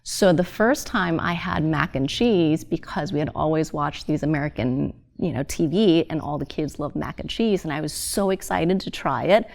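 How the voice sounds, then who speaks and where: A woman who grew up in Thailand shares a story in a lowish voice. She tells it with lively, animated timing. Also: moderate pace, creaky, few pauses. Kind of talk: casual chat.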